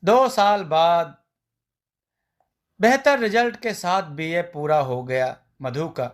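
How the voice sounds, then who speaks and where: A man narrating a scripted family story in a measured storybook manner. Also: fairly high pitch.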